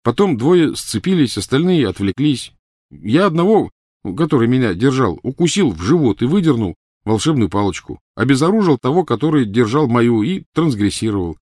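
A man's voice: medium pitch, sing-song, normal speed, darkish tone, dry recording, clean studio sound, pitched above the performer's own voice. A man voicing a defensive, talkative teenage boy wizard pleading his case in an audiobook reading.

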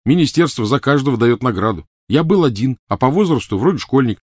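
A man's voice: mid-range voice, expressive, brisk, breathy edge, no room sound, studio quality, voice pushed up. A man voicing a defensive, talkative teenage boy wizard pleading his case in an audiobook reading.

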